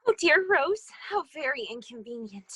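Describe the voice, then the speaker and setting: A woman's voice: high-pitched, big pitch swings, normal speed, a bit bright. A woman playing a prim, catty talking violet in a children's readers theater play. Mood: prim dismay. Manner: prim, mocking.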